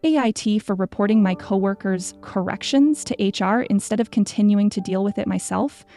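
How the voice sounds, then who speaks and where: Female narrator for scripted stories; mellow tone with vocal fry. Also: mid-range voice, normal speed, soft background music. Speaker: story narrator.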